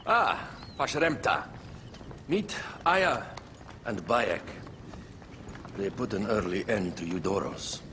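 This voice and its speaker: A wary royal agent in a video game drama, with a fairly low voice. He is brusque and authoritative, used to giving orders. There is faint ambient noise behind him. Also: lively intonation, slow, long pauses. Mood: measured. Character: wary, shrewd, commanding male political agent serving an exiled queen. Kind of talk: video game cutscene acting.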